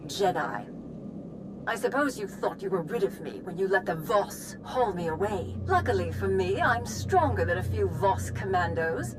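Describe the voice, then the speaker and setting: An actress playing a vindictive Sith villain in a video game, with a sardonic, taunting, theatrical manner. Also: female voice, mid-range voice, animated, moderate pace, background noise, close to an everyday voice. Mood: smug gloating.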